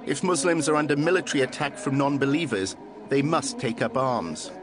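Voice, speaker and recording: A man narrating a documentary and putting probing questions to interviewees in a measured way. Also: medium pitch, over music.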